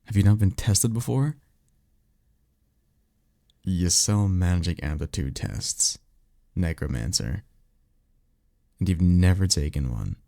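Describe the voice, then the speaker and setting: Man playing a low, gravelly vampire mentor. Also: lively intonation, takes it slowly, pauses often, mellow, no echo, studio quality, exaggerated voice. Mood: incredulous, exasperated. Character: blunt, sarcastic male vampire acting as magic mentor. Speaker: voice actor. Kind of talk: ASMR roleplay audio drama, one-sided conversation.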